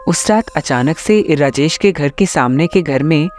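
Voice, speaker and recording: A man's voice: fairly high pitch, lively intonation, unhurried, darkish tone, a little hiss or hum. A man narrating a scripted children's cartoon story as storyteller.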